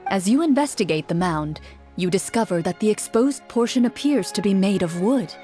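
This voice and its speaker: A woman narrating a fantasy quest in the second person with measured storytelling. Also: medium pitch, darkish tone. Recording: with music behind.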